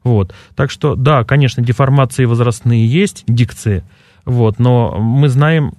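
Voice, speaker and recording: A man appearing as a dialectologist guest in a radio studio, explaining his points in a measured way. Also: medium pitch, darkish tone, no room sound.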